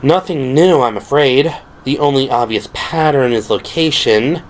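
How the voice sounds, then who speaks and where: A man voicing a composed, earnest young woman officer in an audio drama, giving a measured, analytical briefing. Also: mid-range voice, slight background noise, voice pushed up, natural voice. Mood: composed, reporting.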